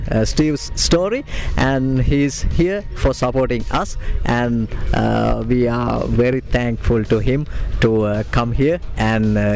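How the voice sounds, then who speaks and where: A man interviewing outdoors at a cricket match, speaking halting with many fillers. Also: mid-range voice, vocal fry, darkish tone. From Sri Lanka. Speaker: interviewer, host. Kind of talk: casual interview.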